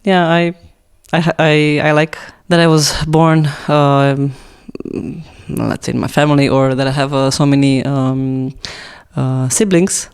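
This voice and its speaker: Romanian-accented young woman guest, gravelly but smooth, halting with lots of fillers. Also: sits low, level tone, darkish tone. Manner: hesitant, many fillers and restarts. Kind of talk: casual interview answers.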